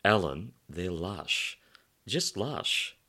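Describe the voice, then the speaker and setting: A man's voice: deep voice, big pitch swings, very slow, bright, forceful tone, slightly rough, exaggerated voice. A man voicing an outspoken, bewildered camp male friend in a novel, emphatic and direct. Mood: effusive.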